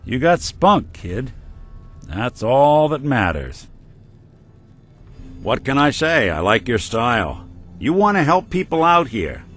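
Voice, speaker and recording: A man's voice: mid-range voice, sing-song, slow pace, long pauses, fairly bright tone, soft background music, full character voice. An actor playing a weary male synth private detective with a dry, laid-back wit, in video game dialogue. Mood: wry.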